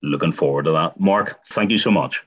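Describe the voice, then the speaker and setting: A man's voice: a bass voice, fairly quick, breathy edge, highs cut off. A man with a Northern Irish accent hosting community radio and interviewing in a conversational style. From Northern Ireland.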